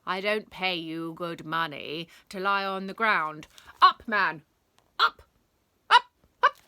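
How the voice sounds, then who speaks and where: A woman's voice: on the low side, steady tone, unhurried, pushed and bright, clear, resonant tone, no room sound. A woman voicing a stern, scolding school headmistress in a storybook, barking bossy orders.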